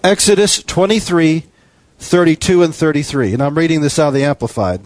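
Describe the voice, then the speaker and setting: A man's voice: fairly high pitch, a little fast, a little mellow, no room sound. A man preaching a sermon with an emphatic, repetitive cadence.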